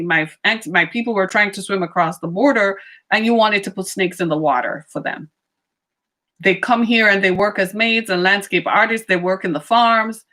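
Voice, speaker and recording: A middle-aged woman giving an impassioned monologue, full of rhetorical questions and sudden swings in pitch and pace. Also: a bit bright. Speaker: speaker on racism.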